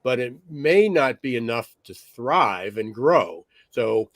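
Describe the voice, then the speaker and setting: Slightly raspy man, dry podcast mic. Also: medium pitch, expressive, takes it slowly, no room sound. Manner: loose, with frequent fillers and restarts. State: slightly raspy from smoky air. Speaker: podcast co-host. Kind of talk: conversational podcast monologue.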